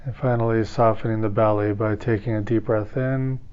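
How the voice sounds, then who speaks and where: A man leading a guided meditation as a teacher, giving calm, gentle instructions slowly with long pauses. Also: fairly low pitch, clear, resonant tone, dull audio.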